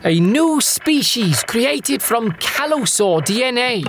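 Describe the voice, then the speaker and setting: A woman's voice: a little high, big pitch swings, slow, noisy background. A woman voicing a villainous mad scientist in a sci-fi audio drama, smug, grandiose and mock-courteous. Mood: grandiose ranting.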